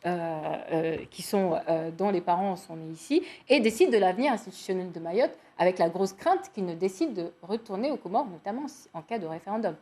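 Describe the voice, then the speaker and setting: A woman's voice: lowish voice, animated, a little fast, hardly any pauses, warm tone. A woman moderating a televised debate, asking questions with many hesitations. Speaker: debate host.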